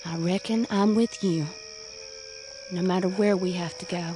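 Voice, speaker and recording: An actress playing an earnest young country sweetheart in a radio drama, with a rural Southern US accent and plain-spoken manner. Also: female voice, fairly low pitch, fairly even intonation, moderate pace, noisy background, lightly acted. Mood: resolute.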